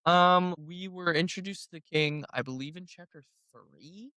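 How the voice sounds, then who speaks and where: A man's voice: high-pitched, normal speed, pushed and bright, clean tone, no echo. A man co-hosting a casual book discussion, explaining things in loose, conversational language with some swearing. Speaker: podcast co-host.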